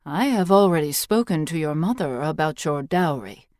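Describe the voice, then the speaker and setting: Woman playing a wise old priestess, low-voiced. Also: lively intonation, no echo, clean studio sound. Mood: gently teasing. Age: older. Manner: measured, instructive, gently teasing.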